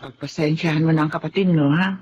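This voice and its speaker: An actress playing a kindly, worried mother who speaks gently about her difficult daughter. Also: low voice, fairly even intonation, fast, dull audio, a little hiss or hum, natural voice. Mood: concerned.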